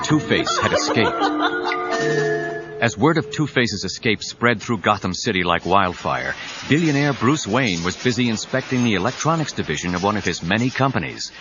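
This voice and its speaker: An actor narrating a superhero audio drama as a suspenseful storyteller. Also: male voice, mid-range voice, lightly acted. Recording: dull 1990s cassette, music under voice.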